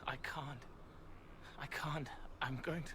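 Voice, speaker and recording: A man voicing a gentle, haunted spirit in a young man's form, murmuring in hushed, halting fragments for a video game. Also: on the high side, steady tone, noisy background. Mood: anguished.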